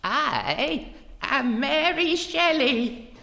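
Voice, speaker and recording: Sly evil old woman, super gravelly n very slow, theatrical horror radio drama, roomy noisy hall. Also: high-pitched, very animated, sharp tone, full character voice. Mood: sinister, sly. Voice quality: creaky, gravelly. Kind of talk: live horror radio play acting. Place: live in an echoing anatomy theatre.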